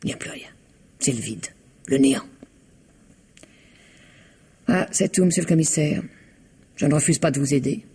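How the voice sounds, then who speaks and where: A husky-voiced, guarded wife. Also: female voice, deep voice, moderate pace, pauses often. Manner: guarded, emotional, sometimes dropping to a hush. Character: reticent woman whose husband vanished, proud and strained. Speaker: wife of a missing man. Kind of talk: 1960s French radio drama acting.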